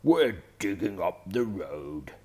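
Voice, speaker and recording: A man voicing a gruff male bull road worker who bellows his lines in a storybook. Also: low-pitched, very animated, takes it slowly, mellow, slight background noise, voice pushed up, exaggerated voice. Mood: bellowing.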